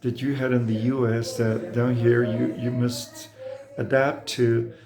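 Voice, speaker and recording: A man hosting an interview, asking questions in a halting way with many fillers. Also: medium pitch, flat delivery, music in the background. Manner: very slow, halting, gravelly. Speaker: interviewer, host.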